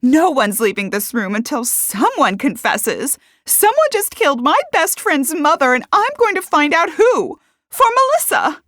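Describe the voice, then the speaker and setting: A woman's voice: medium pitch, sing-song, takes it slowly, few pauses, a bit bright, husky, no echo, studio quality, exaggerated voice. A woman voicing a hot-tempered, accusatory woman in an audiobook mystery, huffy and demanding. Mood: angry, demanding.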